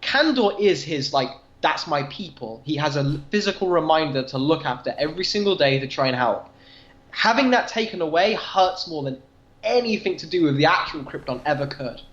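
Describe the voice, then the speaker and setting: A man's voice: on the high side, expressive, a little fast, a bit bright. A man co-hosting a podcast, talking comics casually and earnestly, explaining his points informally, on a roomy, dull recording. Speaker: podcast co-host. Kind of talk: casual comic book discussion. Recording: roomy, slightly dull audio.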